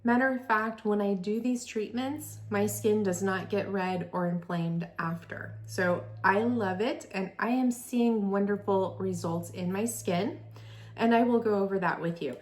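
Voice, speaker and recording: A woman's voice: mid-range voice, a little slow. A woman in her forties, an esthetician, chatting through a skincare device review in an enthusiastic, friendly way. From California. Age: 40s. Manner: chatty, enthusiastic, reads specs aloud. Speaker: esthetician and beauty vlogger. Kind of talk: product review vlog.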